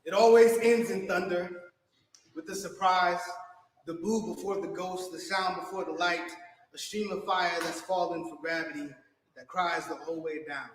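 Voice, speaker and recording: Man, spoken word poet, high pitch, dramatic measured phrasing, long hall reverb. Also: steady tone, slight background noise. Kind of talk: performed slam poetry. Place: on stage in a hall. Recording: echoey hall, distant from the mic.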